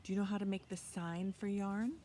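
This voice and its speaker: A woman's voice: medium pitch, level tone, fairly quick, mellow. A woman in her fifties hosts an interactive preschool storytime, speaking in simple short phrases and asking children encouraging questions. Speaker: children's library storytime host. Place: outdoors in a leafy backyard. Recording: outdoor ambient noise.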